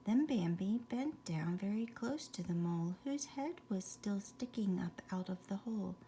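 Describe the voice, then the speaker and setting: A woman's voice: medium pitch, lively intonation, normal speed, mellow, slight background noise. A woman reading a rhyming children's bedtime story expressively, with chatty asides to young listeners.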